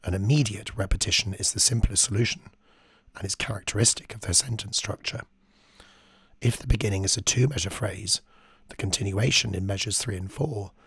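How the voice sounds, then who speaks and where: A man whose voice is almost gone, breathy and half-whispered, sitting right up on the microphone. His tone is low and deep, and the sound is dry and close. He teaches in a thoughtful way, with many ums. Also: fairly bright tone, dry recording. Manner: thoughtful, with frequent ums while reading quotes. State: lost voice, very hoarse from chest infection. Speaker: composer and music teacher. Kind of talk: screen-share music lesson.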